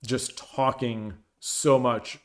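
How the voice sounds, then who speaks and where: Chatty man streamer, voice going hoarse. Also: mid-range voice, level tone, drawn-out words. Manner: rambling, many restarts, reads chat aloud. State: voice getting dry and hoarse. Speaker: shogi streamer. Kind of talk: casual live-stream chat.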